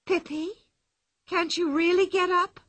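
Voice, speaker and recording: A woman's voice: high-pitched, lively intonation, takes it slowly, bright, forceful tone, voice pushed up, full character voice. A woman doing a timid young girl. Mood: anxious. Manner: raised, anxious voice. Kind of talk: storybook reading.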